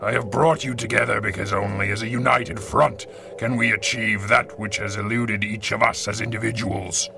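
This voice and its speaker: A grave supervillain leader speaks in a low voice with heavy creak. He is commanding and theatrical, pronouncing each phrase deliberately, like a 1930s radio serial villain, over a clear music bed. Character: grave, commanding male supervillain mastermind leading a secret cabal.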